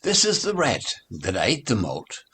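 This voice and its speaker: A man reading nursery rhymes aloud as a storybook recitation, in a measured, rhythmic delivery. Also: lowish voice, wildly swooping pitch, pushed and bright, husky.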